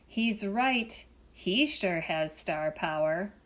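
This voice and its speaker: A woman's voice: on the low side, lively intonation, slow, fairly bright tone. A woman voicing a modest little crab in a read-aloud storybook.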